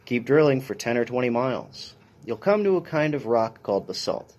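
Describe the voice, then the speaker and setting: A man's voice: mid-range voice, sing-song, moderate pace, darkish tone. A man, a police officer, reading a children's science picture book aloud in an instructional way with brief dry asides.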